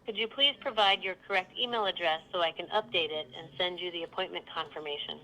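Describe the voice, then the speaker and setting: A woman's voice: lowish voice, fast, pushed and bright, rough recording. A synthetic woman's voice as a polite virtual assistant, giving scripted, neatly phrased customer service. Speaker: virtual phone receptionist.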